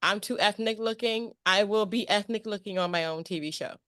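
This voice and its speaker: Woman, talk show host, medium pitch, casual chat, expressive, emphatic, repetitive phrasing. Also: a little fast, dry recording, clean studio sound.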